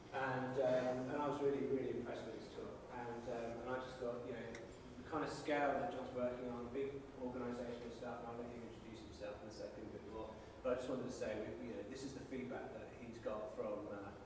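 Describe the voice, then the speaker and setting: A man introducing a speaker at a meetup, halting and filled with ums. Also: medium pitch, level tone. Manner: hesitant, filler-heavy. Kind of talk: casual introduction. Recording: distant mic in an echoey room with background noise.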